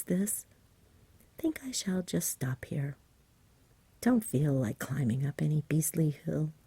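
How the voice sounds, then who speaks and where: A woman voicing a big, jolly, lazy male dragon who murmurs in short clipped phrases, in a soft whispered storybook reading. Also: rumbling low, slightly rough, no room sound, voice pushed down, exaggerated voice. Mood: drowsy, lazy.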